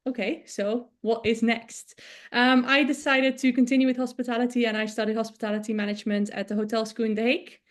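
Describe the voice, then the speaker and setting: Higher-voiced Dutch woman, chatty interview guest. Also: little pitch movement, a little fast. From Netherlands. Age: 30s. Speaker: interview guest, business founder. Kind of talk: casual conversational interview.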